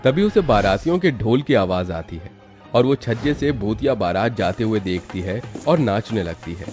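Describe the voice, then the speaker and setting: A man's voice: on the low side, animated, normal speed, darkish tone, soft background music. A man giving scripted narration for a horror cartoon story.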